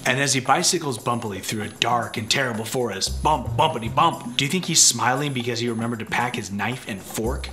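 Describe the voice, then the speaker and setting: A man's voice: medium pitch, fairly quick, hardly any pauses, bright, forceful tone, soft background music. A man in his thirties reading a picture book aloud with theatrical, playful suspense. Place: living room, on a couch.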